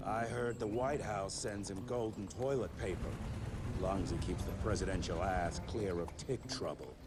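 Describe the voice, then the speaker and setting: An actor playing a cocky Wild West monster hunter, laconic and sardonic, in a game cutscene. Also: male voice, low-pitched, measured pace. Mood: wry. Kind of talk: video game cutscene acting. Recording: very loud background noise.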